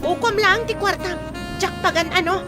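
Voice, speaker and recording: An actress playing a feisty, defiant, sharp-tongued young woman in an Ilocano radio drama, sarcastic and combative. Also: very high-pitched, normal speed. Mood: furious. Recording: loud music bed behind voice.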